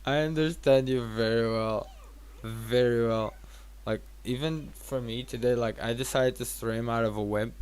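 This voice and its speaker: A man's voice: medium pitch, steady tone, a little slow, a little mellow, smooth. A tired man giving casual gameplay commentary as a streamer, rambling and thinking aloud with some casual swearing. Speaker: game streamer.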